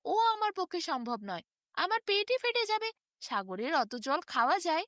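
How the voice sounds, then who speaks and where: Unwilling elephant, played by a woman, incredulous, protesting, surprisingly high and bright. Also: big pitch swings, moderate pace, no echo, clean studio sound, exaggerated voice.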